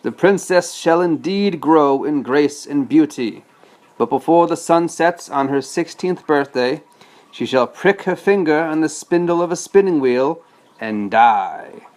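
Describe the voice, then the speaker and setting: A man's voice: on the high side, animated, unhurried, a little mellow, pitched above the performer's own voice. A man voicing a furious, sneering evil fairy woman in a storybook, declaiming with menace.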